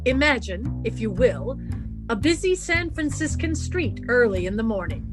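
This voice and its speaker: A woman's voice: fairly high pitch, big pitch swings, normal speed, music in the background. A woman narrating as a theatrical announcer in a 1950s-style radio drama.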